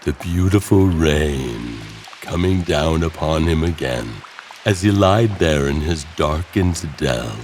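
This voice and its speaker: A man narrating a scripted horror story theatrically, pausing between short phrases. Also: a bass voice, expressive. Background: loud music.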